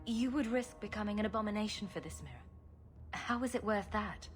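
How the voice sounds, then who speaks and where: An actress playing a confident adventurer heroine in a video game, speaking in a wry, direct, probing way. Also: female voice, mid-range voice, a little fast, faint music behind, natural voice. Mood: firm, probing. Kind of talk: dramatic video game acting.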